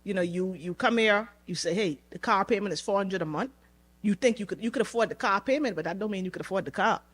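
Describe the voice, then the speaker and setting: A woman's voice: medium pitch, fast, a bit bright, breathy edge. A woman from the Bahamas with a Bahamian accent, talking casually as an interview guest and often checking in with "you know" and "right?". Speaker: podcast guest, entrepreneur.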